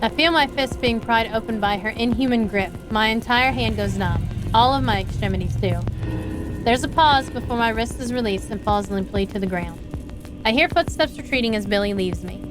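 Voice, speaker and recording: A woman's voice: fairly high pitch, normal speed, rough recording. A woman streaming a game live, reading visual novel lines aloud as she plays. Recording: game music behind the voice.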